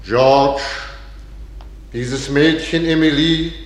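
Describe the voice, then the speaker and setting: A man's voice: fairly high pitch, steady tone, drawn-out words. An actor playing a solemn clergyman conducting a wedding in a radio play, intoning ceremonially in an echoey space. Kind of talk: German radio play acting.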